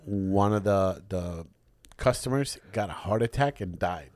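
A man co-hosting a podcast, telling profane stories in casual banter and bursting into loud laughter. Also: low voice, a little slow, dry recording. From United States. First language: English. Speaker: podcast co-host.

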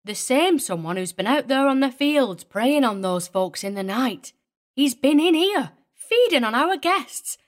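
A woman's voice: sits high, very animated, unhurried. A woman playing a boisterous, bossy, aggrieved innkeeper's wife in a dramatised story. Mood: indignant. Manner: boisterous, exclamatory.

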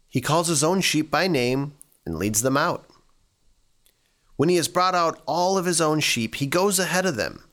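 A man from a church staff reading scripture and sharing a reflective devotional in deliberate, measured phrases. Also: on the high side, fairly bright tone.

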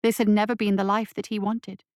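Woman reading dark fiction, dry studio sound. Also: medium pitch, flat delivery, a little fast, no echo. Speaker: story reader. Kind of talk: scripted dramatic fiction narration.

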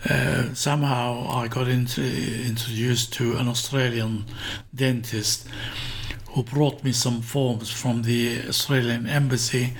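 A man's voice: mid-range voice, little pitch movement, slow, vocal fry. Older Iraqi-born man recalling the past. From northern Iraq. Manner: hesitant, repeats and restarts words. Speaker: interview guest. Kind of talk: reminiscing in an interview.

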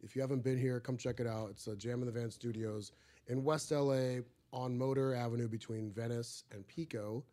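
A man from Egypt hosts a podcast from a studio and talks in a loose, joking, rambling way. His voice sits at an ordinary pitch for a man. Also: steady tone, brisk, warm tone. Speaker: podcast host. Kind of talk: casual podcast chat.

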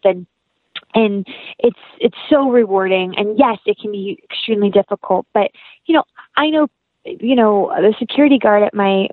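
A woman's voice: mid-range voice, no room sound, narrow, tinny audio. A young American woman, an actress chatting as an interview guest in an earnest, effusive, rambling way. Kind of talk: casual interview chat, anecdotal.

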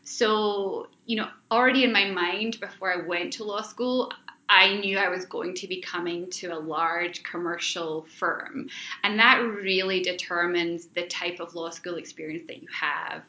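A woman's voice: lowish voice, steady tone, a little slow, sharp tone. A middle-aged woman with a Scottish accent, chatting through interview answers and often repeating phrases as she rephrases. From Scotland. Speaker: interview guest, lawyer. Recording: slightly dull, roomy interview recording.

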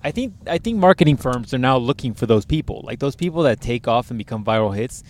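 A man's voice: medium pitch, animated, fast, a little mellow. A man with a nasal voice chatting casually and animatedly, swearing freely. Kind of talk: casual chat. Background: sitting in a parked car.

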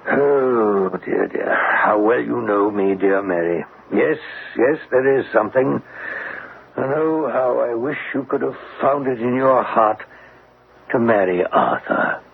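Stern troubled father, formal and theatrical, gravelly, tinny old radio drama. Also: medium pitch, takes it slowly, exaggerated voice. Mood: tender, sorrowful. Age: middle-aged. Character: anguished, stern middle-aged gentleman and father. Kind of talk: vintage radio drama acting.

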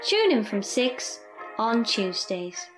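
A woman's voice: fairly high pitch, big pitch swings, slow. A woman presenter delivering a scripted radio promo in a playful, theatrical way. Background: music bed.

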